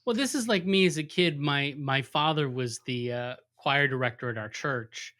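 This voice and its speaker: Man, podcast host, friendly, rambling, self-joking, medium-pitched. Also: moderate pace, sharp tone. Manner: friendly, rambling, jokes about himself. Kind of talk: casual interview talk.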